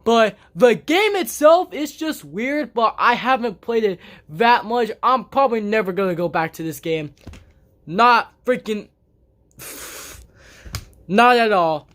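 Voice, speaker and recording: A game collector vlogging casually about their collection, talking in a halting, rambling way with many restarts and blunt grumbles. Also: mid-range voice, expressive, a bit bright. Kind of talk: casual collection show-and-tell vlog. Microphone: camera on a tripod.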